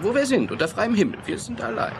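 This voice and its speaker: A man's voice: high-pitched, big pitch swings, fast, creaky, background noise, heavily acted. An actor playing a confident, impatient yachtsman in an audio horror drama, brusque and given to projected calls. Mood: assured.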